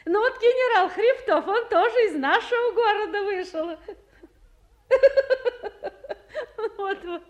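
Casting: brisk, businesslike female council boss, high voice, vintage radio drama, roomy studio sound. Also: muffled recording. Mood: flustered, tender. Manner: businesslike, commanding, conversational. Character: brisk, bossy female town council official, warm underneath. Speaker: actress in a radio play. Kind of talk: 1950s Soviet radio drama acting.

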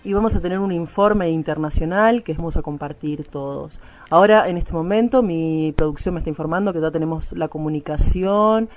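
A woman's voice: lowish voice, brisk, a little mellow, lightly acted. A woman playing a courteous, eager radio host with an Argentine accent in a satirical radio play. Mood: upbeat presenting. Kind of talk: satirical radio theatre interview.

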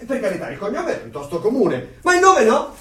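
A very high, swooping voice brings this nosy, excitable neighbour to life for a radio play. He chatters and gushes with admiration. It sounds set back from the mic in an echoey room. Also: brisk, distant mic, exaggerated voice. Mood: chatty, excited.

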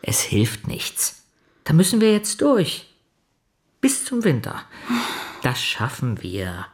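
An actress playing a pragmatic, placating heiress in a radio play. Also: female voice, low-pitched, wildly swooping pitch, takes it slowly, exaggerated voice. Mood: determined. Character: pragmatic heiress urging her partners to endure.

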